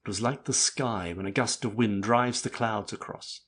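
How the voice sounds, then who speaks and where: A man narrating classic literature as an audiobook, with a husky voice and measured storytelling manner. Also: fairly low pitch, fairly bright tone.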